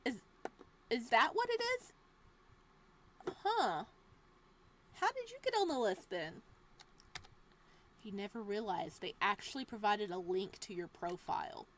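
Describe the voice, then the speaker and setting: A woman reading a visual novel aloud as a let's play host, moving between dramatic narration and casual, expressive reactions. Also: mid-range voice, slight background noise. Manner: expressive, exclamatory asides with laughter between measured reading.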